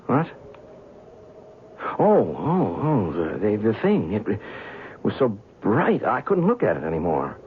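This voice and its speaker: An actor playing a young, skeptical newspaper writer, chatty and curious, in an old-time radio drama. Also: male voice, fairly low pitch, wildly swooping pitch, slow pace, pauses often, exaggerated voice. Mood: curious, flustered. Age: young adult. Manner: hesitant, stammering in dialogue. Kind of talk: old-time radio drama acting, first-person narration and dialogue. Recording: tinny, band-limited old radio recording, faint noise.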